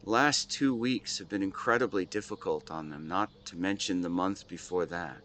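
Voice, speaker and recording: Audio drama lead, male actor: worn-down loving husband, lower register, earnest intimate monologue, audible outdoor-style ambience underneath. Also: fairly bright tone, a little hiss or hum. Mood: weary, dejected. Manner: halting, earnest, intimate delivery. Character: weary, devoted husband and shop owner, tender and grieving. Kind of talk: scripted dramatic monologue addressed to a listener. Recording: clear background ambience with nature sounds.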